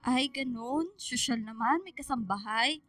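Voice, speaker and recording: A woman's voice: high voice, a little slow, a bit bright, no room sound, soft background music. A woman with a Filipino accent playing a cheerful, sassy young adult daughter in a radio drama, playful and conversational. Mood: surprised, curious.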